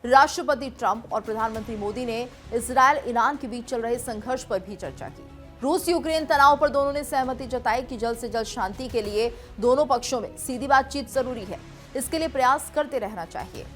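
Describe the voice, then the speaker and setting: High-pitched woman reading news over music. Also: moderate pace. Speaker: news presenter. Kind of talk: scripted Hindi TV news narration.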